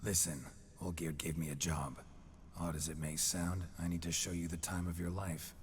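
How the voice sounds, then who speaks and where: Man playing a gruff monster hunter, very low voice, clipped dry delivery, noisy ambience behind. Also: flat delivery, normal speed, lightly acted. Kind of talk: video game cutscene acting. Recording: game audio with noisy ambience.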